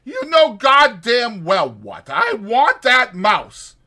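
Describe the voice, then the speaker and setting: A man's voice: very high-pitched, wildly swooping pitch, takes it slowly, pushed and bright, pitched above the performer's own voice, exaggerated voice. A man voicing an impatient, bossy but caring ranch hand who talks in a brusque rural American vernacular in an audiobook. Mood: stern, demanding.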